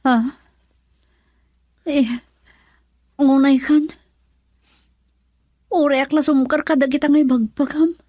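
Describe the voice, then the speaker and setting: A woman's voice: sits high, level tone, slow, long pauses, mellow, no echo. A woman playing an anxious wife who mediates in an Ilocano radio drama. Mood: anxious, pleading. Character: anxious wife mediating between father and husband.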